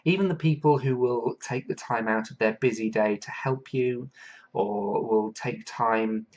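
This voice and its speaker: A man from England hosts a solo podcast. He talks in an earnest and reflective way at a middle pitch, and he often pauses with soft fillers as he works through his thoughts. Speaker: podcast host.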